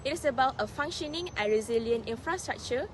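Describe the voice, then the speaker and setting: A woman's voice: high-pitched, lively intonation, fairly quick, a little hiss or hum, poor audio. A young woman, a student presenter, reading scripted documentary narration.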